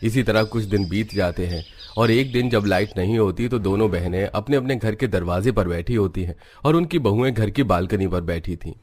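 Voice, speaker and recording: A man's voice: on the low side, normal speed, a little mellow. A man narrating a scripted cartoon story as its storyteller.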